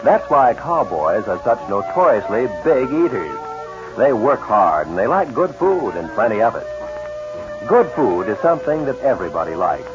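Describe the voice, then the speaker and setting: A man's voice: medium pitch, sing-song, normal speed. A man delivering a polished, persuasive 1940s radio advertisement as a commercial announcer. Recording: muffled 1940s radio recording. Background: music.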